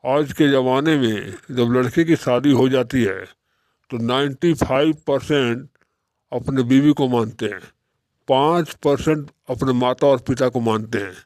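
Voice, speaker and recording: A man's voice: medium pitch, unhurried, a little mellow, dry recording, natural voice. A man playing a poor old father in a moral-story cartoon, lecturing his son in a plaintive, reproachful way. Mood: hurt, reproachful.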